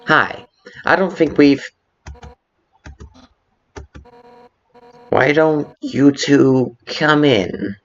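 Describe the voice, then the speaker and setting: A man's voice: on the high side, slow pace, fairly bright tone. A man voicing a fierce, brash female fish-warrior monster in a video game fan dub, blustering and sarcastic. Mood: guarded, awkward. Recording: muffled lo-fi audio with background music.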